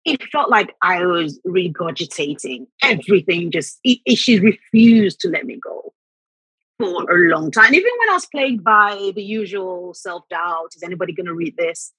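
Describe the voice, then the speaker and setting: A middle-aged woman from Nigeria whose first language is Yoruba, telling reflective stories about her writing and repeating words when she gets excited. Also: on the low side, normal speed, husky, dry recording, poor audio. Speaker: author guest. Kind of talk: conversational interview.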